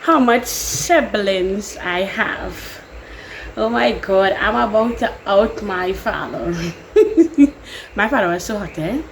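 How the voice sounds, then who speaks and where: A woman's voice: medium pitch, expressive, slow. Young Trinidadian woman, casual rambling vlogger with a mid-range voice, slight background hiss. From Trinidad and Tobago. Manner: chatty, rambling, laughs often. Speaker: YouTuber. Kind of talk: casual Q&A vlog.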